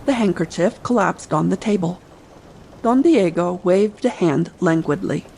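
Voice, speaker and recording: A woman's voice: fairly low pitch, unhurried, vocal fry, a little mellow, a little hiss or hum. A woman narrator reading a scripted adventure audiobook meant for bedtime listening. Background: ambient sea sound bed.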